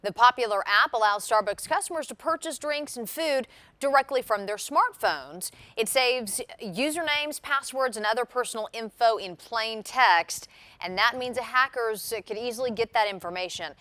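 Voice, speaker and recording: A woman's voice: on the high side, moderate pace, bright, forceful tone. A woman presenting a television newscast as anchor. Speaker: TV news anchor.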